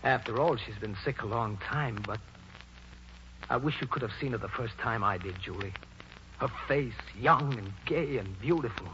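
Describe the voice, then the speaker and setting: An actor in a 1940s radio play as an excitable, sentimental American theatrical producer. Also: male voice, medium pitch, moderate pace, natural voice. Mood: stunned, wistful. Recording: muffled, hissy old radio broadcast.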